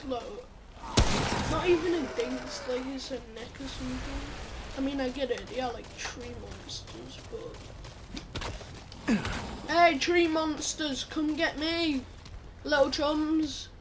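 A woman with a croaky voice giving chatty let's-play commentary, blurting out reactions and muttering asides. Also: high voice, expressive, slow pace, pauses often. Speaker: gameplay commentator. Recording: loud background noise under the voice.

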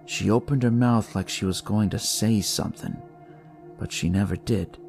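A man narrating dramatic noir horror in the first person, with a deliberate, brooding delivery. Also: fairly low pitch, gravelly, a little mellow. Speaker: storyteller reading in first person as a detective. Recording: music behind the voice.

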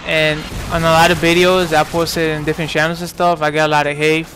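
A man's voice: a little high, little pitch movement, fast, a bit bright, a little hiss or hum, low-quality recording. Rambling young gaming commentator, man. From New York. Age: 20s. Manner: rambling, lots of fillers and restarts. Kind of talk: casual gameplay commentary.

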